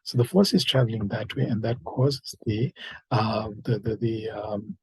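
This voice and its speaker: Husky, gravelly man lecturing informally. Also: lowish voice, steady tone, a little slow, darkish tone, no echo, poor audio. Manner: hesitant, conversational, rambling delivery with many fillers. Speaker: medical lecturer, emergency doctor.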